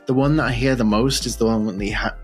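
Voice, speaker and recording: A man's voice: medium pitch, steady tone, fast, faint music behind. A British man hosting a podcast with casual, playful interview chat. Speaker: podcast host.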